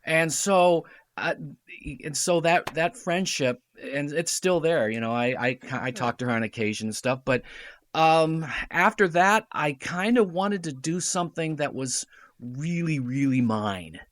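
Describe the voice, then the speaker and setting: Middle-aged guy telling stories on a podcast, voice a bit high, dry mic, acts out the quotes. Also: a little slow, no room sound. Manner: storytelling, animated, quotes others in dialogue. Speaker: radio drama producer and writer, podcast guest. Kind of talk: casual chat.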